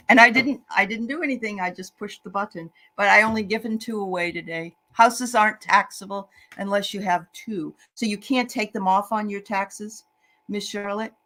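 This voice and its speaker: A woman's voice: medium pitch, normal speed, bright, forceful tone, a little hiss or hum. A woman co-hosting a live stream in casual chat, often chiming in with short agreeing remarks. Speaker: guest co-host on a live stream.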